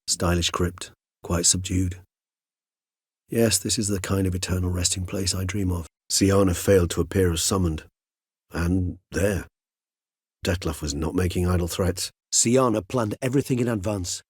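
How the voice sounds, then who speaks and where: A man's voice: low-pitched, husky, no echo, studio quality. A male actor playing a courteous, erudite and melancholy ancient vampire in a video game, speaking formally and eloquently with a wry, philosophical turn. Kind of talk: video game character dialogue.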